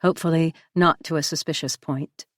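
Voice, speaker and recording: A woman narrating a novel in the first person, with a measured, even storytelling delivery. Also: low-pitched, dry recording, clean studio sound. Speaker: audiobook narrator.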